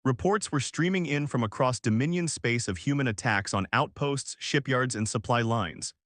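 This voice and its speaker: A man's voice: mid-range voice, normal speed, fairly bright tone, no room sound, clean studio sound. A man reading a dramatic science fiction story as a scripted narrator.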